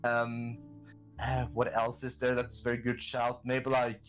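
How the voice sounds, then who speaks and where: A man chats on a podcast at an ordinary middle pitch, rambling and stopping to hunt for words. Faint music plays behind him. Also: completely flat delivery, a little slow, fairly bright tone, smooth. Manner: rambling, hesitant, many fillers. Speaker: podcast guest and streamer. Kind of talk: casual chat.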